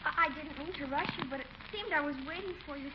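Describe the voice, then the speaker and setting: A woman's voice: sits high, quick pace, over a noisy crowd, natural voice. A woman playing a sweet, coy, gushing little girl in old-time radio comedy style. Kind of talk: 1940s radio comedy acting. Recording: muffled, noisy old radio recording.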